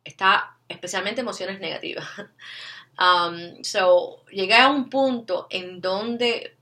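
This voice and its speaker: A woman's voice: medium pitch, takes it slowly, sharp tone. A woman from Cuba with a Cuban Spanish accent, telling a personal story to her channel's viewers and dropping English fillers and frequent tag questions into her Spanish. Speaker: vlogger.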